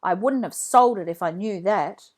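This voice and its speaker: A woman voicing an earnest young boy shopkeeper in a children's storybook, with expressive dialogue. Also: medium pitch, normal speed, lightly acted. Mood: cross.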